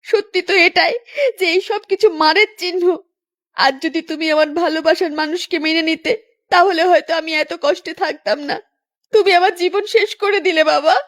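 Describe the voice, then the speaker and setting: A woman playing a wronged, long-suffering young woman in an animated melodrama, speaking in a plaintive, earnest way. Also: extremely high pitch, brisk. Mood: pained, reproachful.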